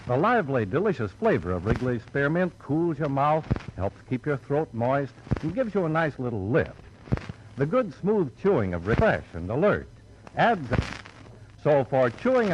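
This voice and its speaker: A man's voice: mid-range voice, lo-fi sound, noisy background. A man with an American accent announcing in an upbeat, emphatic 1950s radio commercial style. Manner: upbeat, emphatic sales delivery.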